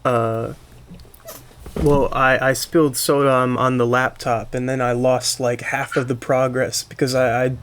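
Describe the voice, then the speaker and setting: A man's voice: mid-range voice, little pitch movement, a little slow, a bit bright, clear, resonant tone. A man hosting a podcast, talking casually as he interviews his guest. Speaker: podcast host.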